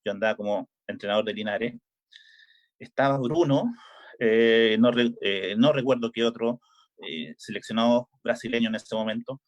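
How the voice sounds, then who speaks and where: Middle-aged Chilean coach, speaking in a relaxed interview, halting and full of fillers. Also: male voice, mid-range voice. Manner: hesitant, many filler "eh"s and restarts. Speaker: volleyball coach.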